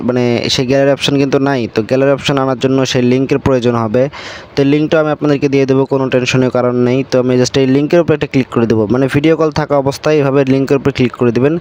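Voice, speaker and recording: Casting: male online tutorial host, very quick delivery, smooth clear tone, casual and instructional. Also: mid-range voice, steady tone, a little mellow. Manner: repetitive, instructional, step-by-step. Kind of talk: casual screen-recording tutorial.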